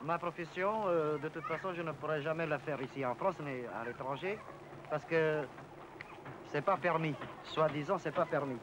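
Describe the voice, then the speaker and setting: A man with a rather high voice answers questions slowly and hesitantly, full of pauses. There is clear outdoor noise around him on a location recording. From Portugal. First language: Portuguese. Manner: hesitant, many pauses and fillers. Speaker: interviewee. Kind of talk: interview answers. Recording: noisy outdoor location sound.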